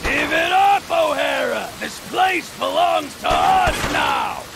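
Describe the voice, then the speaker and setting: High, gravelly, barking gang boss. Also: male voice, huge pitch swings, takes it slowly, shouting, heavily acted. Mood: threatening. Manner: barking, foul-mouthed, impatient. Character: hot-tempered male company gang boss in a Western. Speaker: villain in a video game. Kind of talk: dramatic video game cutscene acting. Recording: rain and storm noise throughout.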